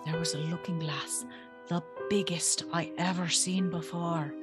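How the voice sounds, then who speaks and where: A woman's voice: fairly low pitch, measured pace, over music, close to an everyday voice. A woman playing an anxious, spirited young servant girl who tells her tale expressively in a gothic audio drama. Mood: uneasy storytelling. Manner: expressive, breathy, nervous.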